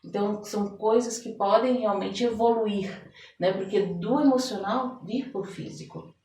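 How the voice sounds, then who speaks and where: A woman's voice: mid-range voice, measured pace, warm tone. A Brazilian woman talking as an interview guest, explaining her coaching work conversationally and often checking for agreement. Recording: roomy echo, distant from the mic.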